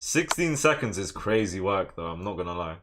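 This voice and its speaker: Low-voiced English man musing aloud on stream. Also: big pitch swings, normal speed, noisy background. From England. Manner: muses moves half to himself, chats to viewers. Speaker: live streamer playing online chess. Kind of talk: casual livestream chat and thinking aloud.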